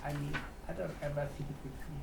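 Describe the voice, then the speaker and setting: A man from Germany facilitating a writing workshop, talking informally and reflectively, haltingly posing questions to the group. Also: on the high side, little pitch movement. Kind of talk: informal spoken introduction to a workshop. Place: echoey room at a live workshop. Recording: echoey room, distant mic, clear background noise.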